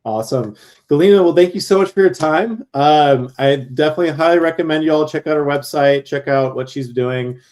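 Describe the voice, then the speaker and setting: A man's voice: on the high side, a little fast, darkish tone. A man hosting an online creator showcase, upbeat and loose, thanking speakers and introducing the next one with many fillers. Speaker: cohort host and moderator. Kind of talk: casual live event hosting.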